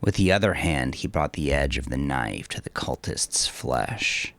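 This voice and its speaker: Deep-voiced man narrating grimly, slow and creaky. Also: expressive, a bit bright, no echo. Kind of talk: scripted dramatic audiobook narration.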